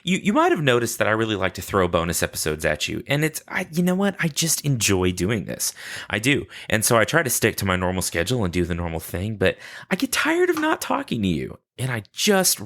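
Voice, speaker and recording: Chatty, animated low-voiced man hosting. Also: brisk. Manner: chatty, rambling, self-deprecating asides. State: sleepy. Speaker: podcast host. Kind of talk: casual unscripted solo podcast talk.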